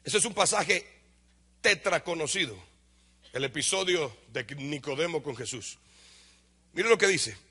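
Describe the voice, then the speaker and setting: A man with a Dominican accent preaching a lively sermon to a congregation. He asks questions and answers them himself, and he shouts some points before dropping to a low aside. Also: unhurried, pauses often, breathy edge. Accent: Dominican Spanish. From Dominican Republic. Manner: animated, shouts for emphasis, then drops to a low aside. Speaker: pastor.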